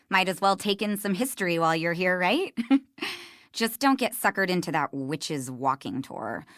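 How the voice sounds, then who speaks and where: An American woman acting as a chatty, harried hostess in a video game, expressive, with brittle politeness. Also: mid-range voice, dry recording, studio quality, lightly acted. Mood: chatty, hospitable.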